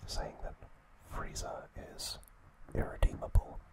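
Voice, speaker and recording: Slow whispering man, ASMR style. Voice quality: breathy, unvoiced whisper. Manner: slow, hushed, halting delivery with gentle pauses. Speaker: ASMR host. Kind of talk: whispered ASMR trivia recap.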